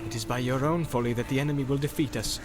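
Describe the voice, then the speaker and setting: Guy doing a proud warrior man, loud and grabby, pitched way up, big declaiming voice. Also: very animated, moderate pace, music in the background. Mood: furious. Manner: booming declamatory delivery, rising to shouts. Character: proud tall warrior man, grasping, turning to rage.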